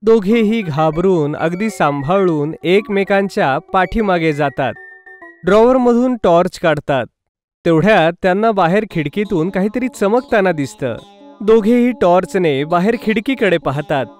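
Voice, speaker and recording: A man's voice: high voice, lively intonation, unhurried, darkish tone, faint music behind. A man reading scripted narration for a children's cartoon story.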